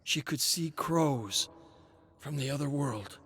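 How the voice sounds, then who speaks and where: A man voicing an anguished, guilt-ridden drugged man confessing in dread, halting and stammering, in a dramatic audiobook. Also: medium pitch, animated, a bit bright, breathy edge, voice pushed up, exaggerated voice. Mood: regretful. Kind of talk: dramatic audiobook character acting.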